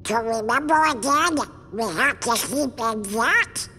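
An actor voicing an anxious little cartoon duck in a video game, with a squawky, worried way of talking. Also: male voice, very high-pitched, expressive, unhurried, pushed and bright, big-room sound, slight background noise, full character voice.

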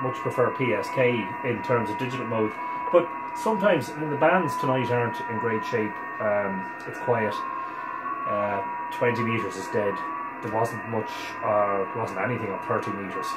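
A man's voice: lowish voice, heavy background noise. Irish man in an echoey room. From Ireland. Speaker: amateur radio operator presenting. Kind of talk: casual explanatory demonstration. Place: small home radio room, indoors. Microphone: camera a couple of metres away.

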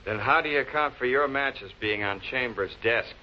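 A man's voice: mid-range voice, normal speed, lightly acted. A man playing a confident police lieutenant in a 1940s television crime drama, blunt, clipped and matter-of-fact. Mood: blunt, questioning. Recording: muffled, noisy old film soundtrack.

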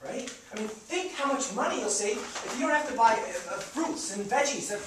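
An actor playing a young, idealistic, broke farmer on stage, pleading and stammering in slangy, insistent speech. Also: male voice, high voice, animated, moderate pace. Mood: eager pleading. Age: young adult. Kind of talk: stage play acting. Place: indoor theater stage. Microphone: far camera. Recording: echoey theater stage, distant noisy camera mic.

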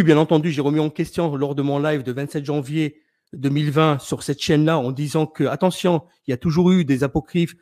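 A man's voice: a little high, darkish tone. A man hosting a live stream, talking in an insistent, argumentative way. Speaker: livestream host. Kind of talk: casual chat on a live stream.

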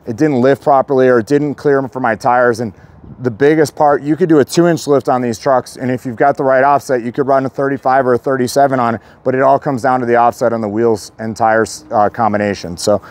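A man's voice: medium pitch, steady tone, a little fast, darkish tone. A middle-aged American man speaking casually and explaining things as he presents a vehicle walkaround outdoors. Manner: conversational, explanatory. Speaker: truck shop presenter. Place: outdoors in a paved loading yard.

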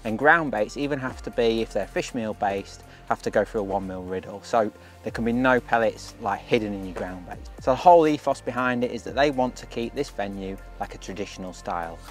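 A man's voice: on the low side, brisk, hardly any pauses. English man commentating over music. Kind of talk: match highlights commentary.

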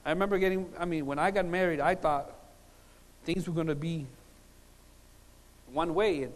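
A middle-aged man from Puerto Rico, preaching with humorous stories, emphatic pauses and repetition. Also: fairly high pitch. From Puerto Rico, born in Cuba. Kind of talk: sermon with humorous personal stories. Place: large conference hall, on stage at a lectern. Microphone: lapel mic. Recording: reverberant hall sound system.

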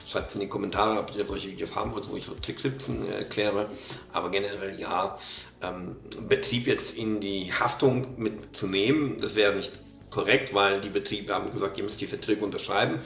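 A man's voice: lowish voice, fairly even intonation, normal speed, creaky, fairly bright tone, roomy sound. A man in his thirties with a slight cold, commenting on a video casually, with many fillers and restarts. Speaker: reaction video host. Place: small room at a desk.

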